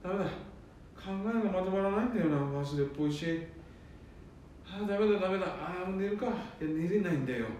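A man's voice: high voice, fast talker, darkish tone, natural voice. A man playing a weary, henpecked eldest son and salaryman in a radio drama, grumbling and muttering. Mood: anxious, restless. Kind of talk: amateur radio drama acting. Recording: echoey room, distant mic, faint noise.

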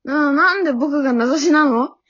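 Meek little dog boy played by a woman, high voice, stammering and polite, dull lo-fi radio play. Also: fairly quick, a bit bright. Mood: frightened. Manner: hesitant, stammering, apologetic. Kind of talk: amateur comedy radio drama. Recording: dull lo-fi audio.

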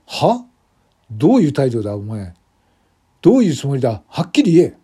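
A man's voice: medium pitch, wildly swooping pitch, normal speed, dark tone, slightly rough, soft background music. A man playing an overbearing personnel manager in a radio drama, scolding an employee in a stern, accusatory, condescending way. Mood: irate, demanding. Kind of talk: amateur radio drama acting.

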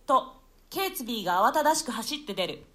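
Female narrator for stage drama: high-pitched, lively intonation, theatrical reading of stage directions. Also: fast talker. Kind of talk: dramatic scripted narration of a classical play.

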